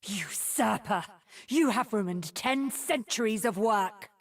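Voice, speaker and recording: Husky, vengeful, slow-spoken sorceress. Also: female voice, medium pitch, animated. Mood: outraged. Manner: slow, venomous, deliberate. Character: vengeful, bitter female sorceress, coldly furious. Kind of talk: video game character voice lines.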